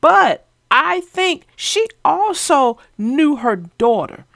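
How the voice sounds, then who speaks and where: A woman reading a children's storybook aloud in a measured, gentle way, then chatting casually about it. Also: high-pitched, big pitch swings, creaky, dry recording, clean studio sound. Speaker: storybook narrator.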